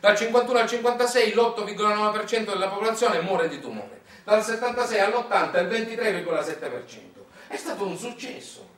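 A man's voice: sits high, lively intonation, a little fast, few pauses, fairly bright tone. A man with a Sicilian accent performing a theatrical narrative monologue with dramatic emphasis, recorded from a distance in an echoey room. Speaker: stage monologist. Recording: echoey room, far from mic.

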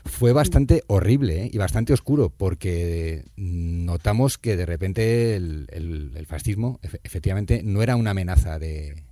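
Low-voiced middle-aged Spanish host. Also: male voice, lively intonation, normal speed, few pauses, warm tone, dry recording, clean studio sound. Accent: Spain Spanish. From Madrid area, Spain. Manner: candid, reflective, with fillers. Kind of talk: casual radio chat.

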